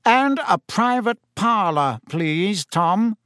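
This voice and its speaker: A man's voice: high voice, very animated, measured pace, fairly bright tone, dry recording, studio quality, voice pushed up, exaggerated voice. A man voicing a portly, testy middle-aged male official who speaks in a pointed, clipped way. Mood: formal.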